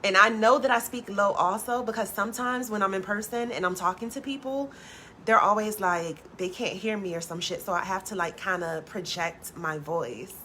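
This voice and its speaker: Soft-spoken woman chatting on a live stream. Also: normal speed, a little hiss or hum. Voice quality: low natural voice. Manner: soft-spoken, chatty, swears casually, says "like" often. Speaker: tarot reader hosting a live stream. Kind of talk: casual live-stream chat with viewers.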